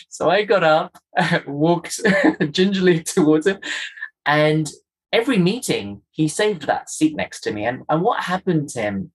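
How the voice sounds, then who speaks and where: A man from Kenya with a softened Kenyan accent, a guest telling his story in an interview with earnest, emphatic repetitions. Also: on the high side, slightly rough, low-quality recording.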